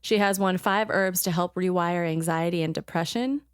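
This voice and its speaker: A woman co-hosting a podcast in casual chat, halting and full of ums as she looks things up. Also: fairly low pitch, smooth, no echo, clean studio sound. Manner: chatty, halting, many ums while searching notes. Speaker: podcast co-host.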